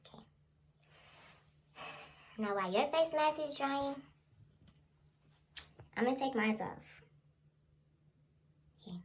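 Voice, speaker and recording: High-voiced young woman, slangy and playful. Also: animated, takes it slowly, pauses often, slight background noise. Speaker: vlogger and ASMR creator. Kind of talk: casual ASMR role play and chatty vlog.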